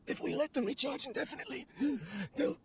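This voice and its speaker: A man's voice: very high voice, gravelly, very noisy, heavily acted. A man playing a weary, lonely researcher in a video game monologue, wistful and solemn in manner. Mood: weakened, straining. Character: weary, lonely male researcher obsessed with his machine creation.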